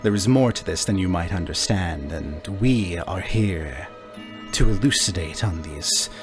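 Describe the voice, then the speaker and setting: Man voicing a formal, measured enigmatic tower leader. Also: low voice, full character voice. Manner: formal, measured, stately, speaks as "we".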